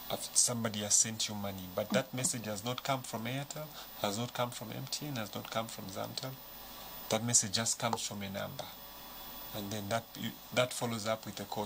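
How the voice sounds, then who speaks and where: A man, a bank official, explaining in a measured, patient way in a studio interview. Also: on the low side, creaky. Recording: noisy studio background.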